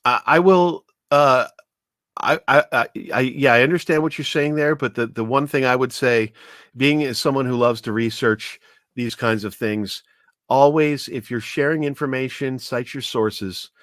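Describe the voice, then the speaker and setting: A man in a conversational discussion, explaining his points earnestly and haltingly as a guest commentator. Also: medium pitch, a bit bright, no echo, clean studio sound.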